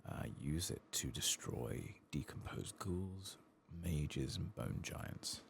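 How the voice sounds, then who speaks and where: A man giving soft-spoken ASMR commentary while gaming, murmuring and drifting into whispers. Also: a bass voice, lively intonation, slow pace, creaky, a little hiss or hum. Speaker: gaming streamer, ASMR host.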